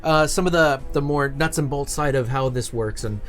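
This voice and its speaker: A man's voice: mid-range voice, moderate pace, fairly bright tone, faint music behind. A man hosting a casual web show in a studio, talking in an informal, encouraging way. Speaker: show host.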